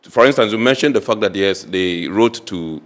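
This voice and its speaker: A male interview host, asking measured, probing questions and pushing back on his guest. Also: lowish voice, animated. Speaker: show host. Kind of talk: studio interview.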